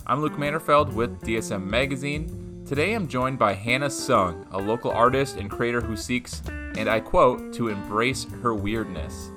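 A man hosting an interview podcast, with a friendly scripted intro and then relaxed questions. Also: medium pitch. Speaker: podcast host. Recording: music playing behind.

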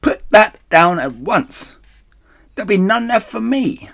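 A man's voice: sits high, sing-song, measured pace, gravelly, fairly bright tone, voice pushed up, exaggerated voice. A man voicing a grumpy, possessive rat in a storybook, shrieking with indignation. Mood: outraged. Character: shrieking, grumpy, possessive male rat guarding his drink.

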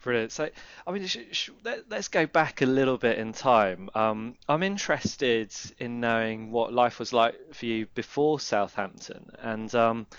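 A man's voice: mid-range voice, a little slow, hardly any pauses, clear, resonant tone, no echo, muffled recording. A man interviewing a guest, asking long rambling questions in a chatty way. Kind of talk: casual interview.

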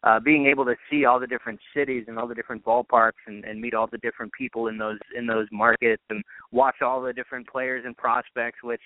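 A man's voice: mid-range voice, little pitch movement, fast, few pauses, dry recording. A man, a radio sports announcer, giving conversational interview answers as a guest over a narrow phone line.

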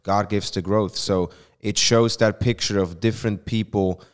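A man with a Swiss accent, talking through ideas in an earnest, measured way as a church planter in a casual podcast chat. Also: on the low side, steady tone.

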